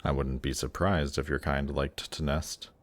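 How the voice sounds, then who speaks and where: A man playing a seductive demon prince in an intimate roleplay audio drama, teasing and coaxing in an unhurried way. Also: rumbling low, flat delivery. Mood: coaxing, wry.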